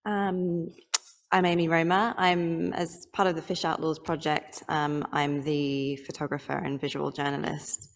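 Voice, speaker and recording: Low, gravelly English woman in a hall. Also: little pitch movement, noisy background. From England. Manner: measured, with frequent ums. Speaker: photojournalist. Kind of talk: panel self-introduction. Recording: long hall reverb.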